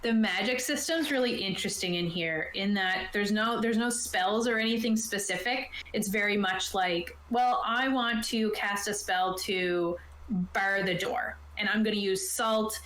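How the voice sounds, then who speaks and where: A woman's voice: mid-range voice, a little slow, a bit bright, slight background noise. A woman game master casually explaining tabletop game rules in an informal, conversational way. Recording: roomy, echoey voice sound.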